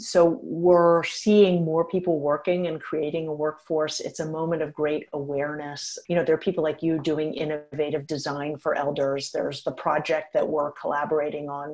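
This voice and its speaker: A woman in her fifties, a geriatrician and author, answering interview questions frankly and with energy, laughing now and then as she talks. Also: deep voice. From United States. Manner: frank, animated, laughs while talking.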